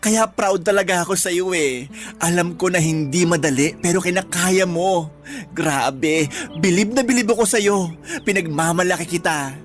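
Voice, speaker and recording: A man playing a playful, campy best friend in a radio drama, expressive, teasing and encouraging. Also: high-pitched, moderate pace, faint music behind, close to an everyday voice. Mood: proud, encouraging. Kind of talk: Tagalog radio drama dialogue, conversational acting.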